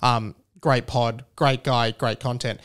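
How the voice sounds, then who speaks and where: A man's voice: medium pitch, fairly even intonation, slow, a bit bright. A man hosting a podcast solo in a casual, chatty style, rambling and often interrupting his own sentences. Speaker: podcast host.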